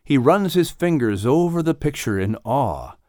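A man reading a scripted audiobook story as its narrator, with expressive delivery. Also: mid-range voice, unhurried, dry recording, studio quality.

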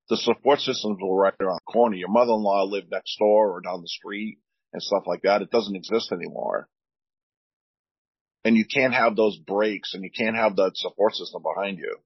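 A man with a deep voice, a veteran speaking earnestly on a podcast, often repeating words as he searches for them. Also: normal speed, breathy edge, dry recording, highs cut off. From United States. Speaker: veteran guest. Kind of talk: candid podcast talk.

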